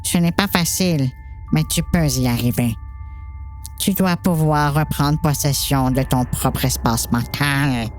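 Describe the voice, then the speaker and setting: A patient, reassuring doctor, acted by a woman for an amateur audio drama. The voice sits low and moves in measured, explanatory phrases, with faint music behind it. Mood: calm, reassuring. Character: calm, patient doctor coaching a troubled girl.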